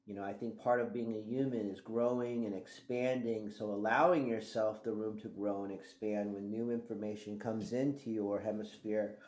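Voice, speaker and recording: A middle-aged man from Thailand reads his own book in a low, easygoing voice. He talks as much as he reads, and his phrases sink into a quiet mutter at the end. The room around him rings with echo. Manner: conversational, drops to a quiet mutter at phrase ends. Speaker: author reading his book. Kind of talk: reflective audiobook-style reading.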